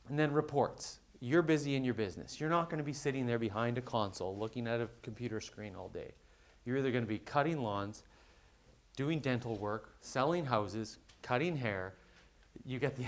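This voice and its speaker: A man giving a conversational business presentation at a conference, explaining with quick everyday examples. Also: medium pitch. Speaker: conference speaker.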